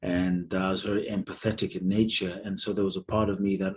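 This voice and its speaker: A man in his forties, born in Ghana and raised in London, speaks as a podcast guest in a low, steady voice. He tells his story in an earnest, measured and reflective way. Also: a little hiss or hum. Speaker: guest, spiritual speaker and author.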